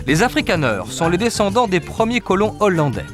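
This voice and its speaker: Lively, higher-pitched male documentary narrator. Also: brisk. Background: music.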